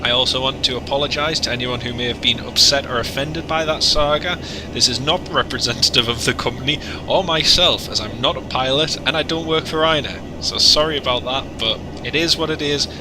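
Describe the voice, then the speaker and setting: A man's voice: medium pitch, brisk. A man chatting casually on a flight sim livestream, joking and rambling between asides. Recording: noisy, with engine hum.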